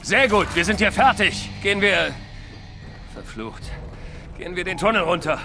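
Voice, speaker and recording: Urgent young soldier, lively pitch. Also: male voice, normal speed, creaky, music in the background. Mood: urgent, commanding. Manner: commanding, urgent. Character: young male soldier leading squad, urgent, searching for his father. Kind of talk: video game voice acting, German dub.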